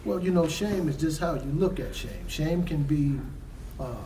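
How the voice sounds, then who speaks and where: A man playing an evasive, protective middle-aged father, speaking haltingly and formally in a stage drama. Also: fairly high pitch, distant mic, lightly acted. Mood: defensive. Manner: hesitant, halting, formal wording. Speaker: actor in stage play. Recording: noisy live stage recording.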